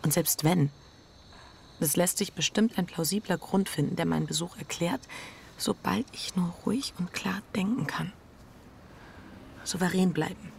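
Radio drama casting: fearful, guilty wife, lowish creaky voice, tense breathy inner monologue, faint background noise. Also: female voice, normal speed, pauses often, a little mellow. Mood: anxious, defensive. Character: anxious, guilt-ridden bourgeois adulterous wife. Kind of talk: German radio drama acting with first-person narration.

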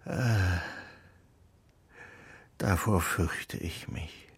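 An actor in a children's radio play playing a humble, good-natured old puppet maker who speaks hesitantly, muttering interjections. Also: male voice, sits low, drawn-out words, breathy edge, exaggerated voice. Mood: hesitant, moved.

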